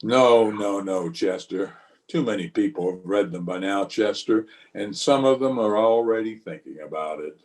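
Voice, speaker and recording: An actor playing a weary, watchful Old West marshal in a radio western, speaking and narrating in a measured, terse, grave way. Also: male voice, fairly low pitch, a little mellow, lightly acted. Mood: grave, wry. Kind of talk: old-time radio western acting with first-person narration.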